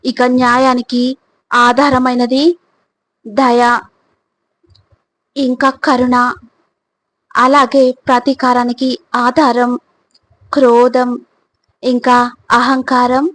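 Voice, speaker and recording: A woman reading scripted motivational narration in a deliberate, instructive manner, addressing the listener directly. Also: high-pitched, flat delivery, fairly bright tone, dry recording, low-quality recording.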